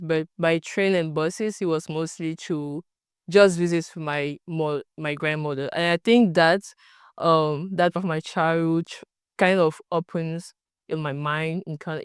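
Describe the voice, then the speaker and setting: A young woman from Cameroon with a Cameroonian French accent and French as her first language. She answers interview questions as a student researcher, often pausing to search for words. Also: low voice, clear, resonant tone, dry recording, clean studio sound. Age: young adult.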